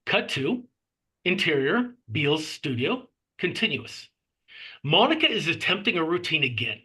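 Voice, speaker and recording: A man reading screenplay stage directions as narrator, with measured phrasing and clipped scene headings. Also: fairly high pitch, big pitch swings, sharp tone, breathy edge.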